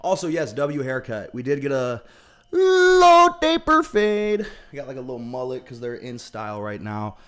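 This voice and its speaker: A man's voice: medium pitch, huge pitch swings, normal speed, a bit bright, smooth. A young man casually chatting on a livestream. He greets viewers by name and thinks aloud with plenty of fillers. His speech sounds a bit off from the teeth whitening strips he has in. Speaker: trading livestreamer.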